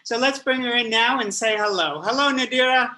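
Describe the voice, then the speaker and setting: A man's voice: very high voice, brisk, bright, forceful tone. A man hosting an interview show who speaks in a friendly, upbeat way and addresses the audience directly. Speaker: host, spokesperson.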